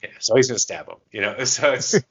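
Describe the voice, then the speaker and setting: A man co-hosting a podcast, chatting casually and telling stories by acting out quick bits of dialogue. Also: medium pitch, expressive, a bit bright, husky, muffled recording. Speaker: podcast co-host. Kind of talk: casual chat about tabletop roleplaying.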